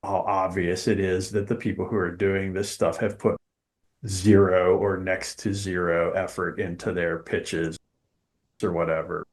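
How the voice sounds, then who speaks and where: A man talking casually as a podcast host, giving his opinions in an emphatic, deliberate way. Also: sits low, level tone.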